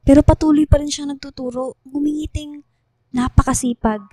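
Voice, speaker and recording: A woman playing a tender, earnest schoolgirl in a radio drama, speaking softly and sincerely. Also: high-pitched, fairly even intonation, fairly quick, no echo, clean studio sound, natural voice. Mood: troubled, sympathetic. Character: Lia, earnest, tender schoolgirl grateful to her teacher. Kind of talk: radio drama dialogue and heartfelt letter reading.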